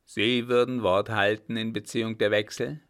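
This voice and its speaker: Male narrator doing a grim army captain: low, gravelly, harsh and clipped. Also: level tone, normal speed, a bit bright.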